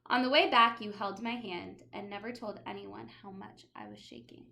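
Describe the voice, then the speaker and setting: A woman reading a children's picture book aloud as a teacher, in an expressive style with dramatic emphasis. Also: mid-range voice, moderate pace, creaky. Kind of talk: animated children's storybook read-aloud.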